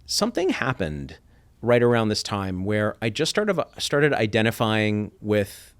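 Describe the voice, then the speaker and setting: A man's voice: fairly low pitch, very animated, a little slow. A middle-aged man from Iran, a podcast guest, telling his story in a thoughtful, reflective way and acting out quoted voices. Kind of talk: reflective interview talk.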